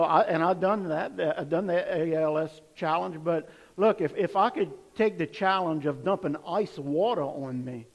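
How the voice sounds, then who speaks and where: A male pastor with a hoarse voice, preaching a casual, energetic sermon to his congregation in a church sanctuary. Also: on the high side, in a large hall, poor audio. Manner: energetic, calls for praise with amens.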